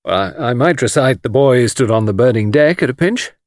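Man playing a breezy, lively gentleman adventurer. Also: mid-range voice, no echo, clean studio sound, natural voice. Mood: playful. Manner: offhand, glib, brisk. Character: breezy, flippant gentleman adventurer working as tour guide. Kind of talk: audiobook character voice.

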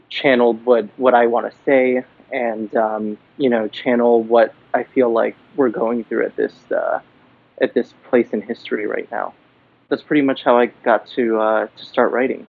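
A man's voice: mid-range voice, no echo. A man from Miami, a comic book writer, answering interview questions thoughtfully from a basement. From Miami, Florida. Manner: thoughtful, many fillers and restarts. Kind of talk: podcast interview. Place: building basement. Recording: dry, phone-like remote line.

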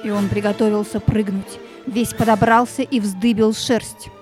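A woman reading expressive scripted narration for a fairy-tale audio play, with music behind her. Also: mid-range voice, normal speed.

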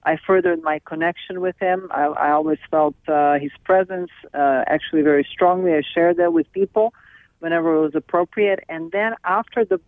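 A middle-aged woman from Serbia, speaking as a guest over the phone in an earnest, halting way with many restarts. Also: fairly low pitch, no room sound. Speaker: film director and guest. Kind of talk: phone interview. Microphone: telephone. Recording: tinny dry phone line.

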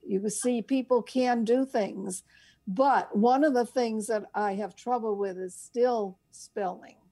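Older female co-host, very leisurely delivery, higher-than-average pitch, chatty storyteller who wanders off track. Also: slow, dark tone. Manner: rambling, easily sidetracked. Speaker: radio show co-host. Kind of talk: casual chat and storytelling.

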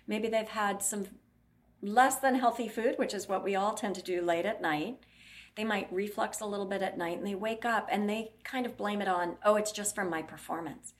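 A woman's voice: medium pitch, normal speed. Blunt, explanatory woman clinician. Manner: blunt, explanatory, acts out patient quotes. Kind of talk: interview answers.

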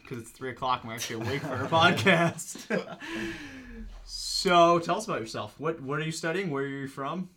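A man's voice: a little high, normal speed, a crowd in the background. A young man co-hosting a podcast, chatty and upbeat, asking questions and laughing often. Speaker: podcast co-host. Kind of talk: casual podcast chat.

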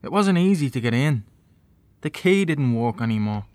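A man's voice: mid-range voice, very animated, normal speed, darkish tone, no echo, lightly acted. Terse young man, closely recorded, an actor. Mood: subdued, probing. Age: young adult. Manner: terse, understated. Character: reserved young man, student son, gently probing. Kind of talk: naturalistic audio drama acting.

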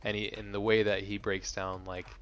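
A fairly low-pitched man in his thirties, from Hawaii, hosting a casual podcast. He rambles through thoughts, swears freely and laughs often, keeping the talk loose and easygoing. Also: flat delivery, normal speed, a bit bright, clear, resonant tone. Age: 30s. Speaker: podcast host. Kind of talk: casual chat.

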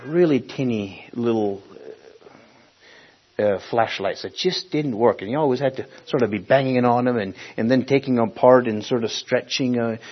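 Husky-voiced male preacher from Honduras. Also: medium pitch. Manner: conversational, halting, searching for words. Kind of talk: sermon with personal storytelling. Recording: dull, muffled lo-fi recording.

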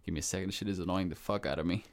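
A man's voice: low voice, steady tone, racing. A young man co-hosting a podcast, chatting casually, swearing offhandedly and acting out his own thoughts. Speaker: podcast co-host. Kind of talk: casual chat.

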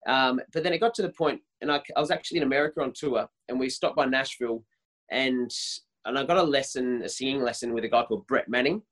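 A man in his thirties with a higher voice, a worship leader talking casually and eagerly in an interview, often restarting his sentences. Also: fast, fairly bright tone, poor audio. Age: 30s. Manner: enthusiastic, many restarts and repeated words.

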